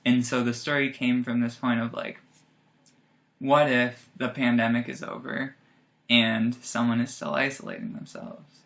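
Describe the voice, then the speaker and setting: A man's voice: mid-range voice, unhurried, creaky, a bit bright. A young man, a student playwright, informally introducing his play in a hesitant, self-deprecating way. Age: young adult.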